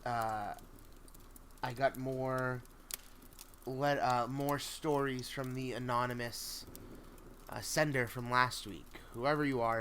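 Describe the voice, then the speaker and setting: A man hosting a story-reading livestream, chatting casually in a halting, think-out-loud way over background noise. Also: medium pitch. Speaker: host of a spooky story reading stream. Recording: clear steady background noise.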